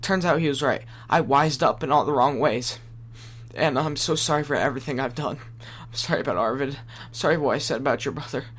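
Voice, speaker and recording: A man's voice: mid-range voice, quick pace, a bit bright, close to an everyday voice. A man playing an anguished, remorseful young man in an audio drama, with urgent, pleading, emotional delivery.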